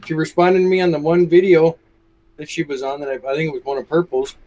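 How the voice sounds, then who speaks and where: A man's voice: a little high, fast, darkish tone, low-quality recording. A man chatting casually as a livestream guest, telling anecdotes and quoting what people said. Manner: anecdotal, acts out quoted remarks.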